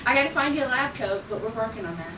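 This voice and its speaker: A woman leads a casual group discussion as director, her higher voice moving with lively pitch. She is recorded in an echoey room. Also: very fast. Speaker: director leading a cast table read. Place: room at a group table read. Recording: echoey, very noisy room, distant mic.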